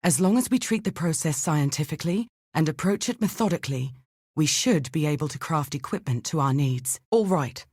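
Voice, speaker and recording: A woman's voice: deep voice, animated, a little fast, darkish tone, breathy edge, dry recording, clean studio sound, heavily acted. A woman playing an earnest, analytical female archaeologist and scientist in a video game, speaking precisely and formally. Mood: brisk, practical. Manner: precise, formal, articulate, thoughtful. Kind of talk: scripted video game dialogue.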